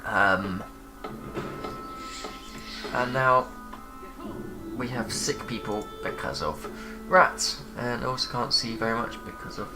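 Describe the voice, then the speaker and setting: Man, bunged up with a cold, rambling gameplay commentary, halting with lots of ums, game music underneath. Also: medium pitch, rough recording. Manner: rambling, hesitant with many fillers. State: congested with a cold. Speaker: gaming channel host. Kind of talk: casual let's play commentary.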